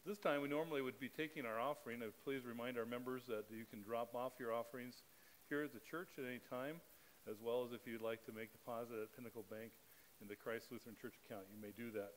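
A man's voice: medium pitch, slight background noise, rough recording. Middle-aged man reading liturgy at a pulpit. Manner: measured, steady reading cadence. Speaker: pastor. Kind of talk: leading a spoken liturgy. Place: church sanctuary, at a pulpit. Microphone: pulpit microphone.

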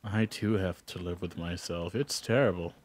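Low-voiced joking man on voice chat. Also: normal speed. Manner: joking, laughs often, self-deprecating. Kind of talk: casual gaming chat.

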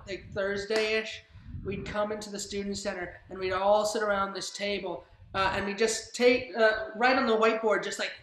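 A woman's voice: medium pitch, a bit bright. A young adult woman chatting casually on a video call, rambling and repeating her phrases. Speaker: club writer and performer. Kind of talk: casual chat. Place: indoors on a group video call. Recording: echoey, noisy video call with clicks.

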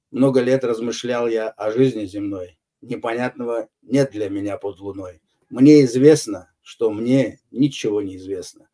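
Slow, measured older man. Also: on the low side, dark tone. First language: Azerbaijani. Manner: measured, unhurried, frequent hesitations. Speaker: interview guest. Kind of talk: recorded online interview answers.

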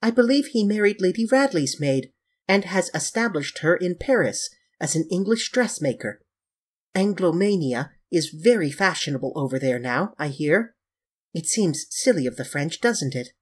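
A male narrator plays a languid, petulant young gentleman in a storybook reading. The voice is lifted high and swings freely in pitch, with an airy, affected, dismissive manner. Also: animated, normal speed, slightly rough.

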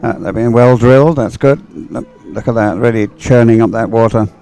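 A man giving unhurried, chatty live race commentary in an encouraging manner. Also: medium pitch, creaky, mellow, soft background music. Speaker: sports commentator.

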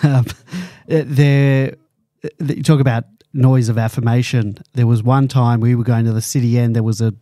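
Mellow Australian man hosting a podcast. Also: mid-range voice, steady tone, normal speed, dry recording, studio quality. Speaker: podcast host. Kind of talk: casual sports podcast chat.

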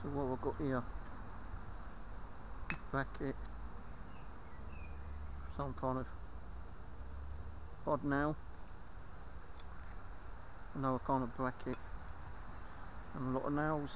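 A man's voice: medium pitch, little pitch movement, slow, pauses often, dull audio. A man vlogging while magnet fishing by a river, chatting to viewers, listing his finds and swearing casually. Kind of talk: casual vlog commentary. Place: outdoors by a river. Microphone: head-mounted action camera. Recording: outdoor action-camera audio with ambient noise.

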